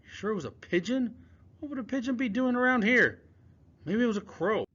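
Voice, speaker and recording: Man reading as a frantic boy, shrill when panicking, huskyish voice, lo-fi audiobook. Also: lively intonation, brisk, fairly bright tone, slightly rough, exaggerated voice. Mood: nervous. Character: jumpy, agitated young boy. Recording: dull lo-fi recording.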